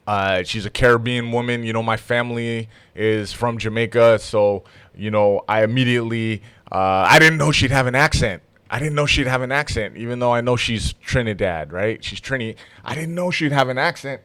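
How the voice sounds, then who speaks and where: A man's voice: lowish voice, fairly bright tone, clear, resonant tone, dry recording. A man from Toronto hosting a podcast in a casual, conversational interview style. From Toronto, Canada.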